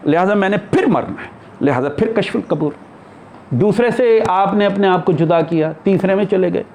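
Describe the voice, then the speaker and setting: A man's voice: high-pitched, normal speed, a little mellow, slight background noise. A man teaching a class in a conversational religious discourse, explaining and posing rhetorical questions. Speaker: spiritual teacher lecturing a class.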